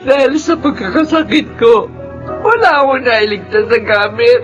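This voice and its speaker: A woman playing an ailing, sorrowful older mother with halting speech in a Filipino radio drama. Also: on the high side, animated, lo-fi sound, close to an everyday voice. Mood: grieving, despairing. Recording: music behind the voice.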